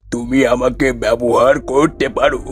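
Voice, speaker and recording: A man voicing a talking magic boat in a cartoon, speaking in a formal, measured, solemn manner. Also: mid-range voice, fairly even intonation, faint music behind, close to an everyday voice. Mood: solemn warning. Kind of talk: cartoon voice acting.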